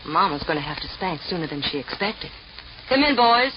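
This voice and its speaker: A woman's voice: fairly low pitch, big pitch swings, fairly quick. An actress playing a tough, mocking female gang boss in a 1940s radio noir drama, with coolly menacing delivery. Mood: mocking menace. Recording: muffled, noisy old radio recording.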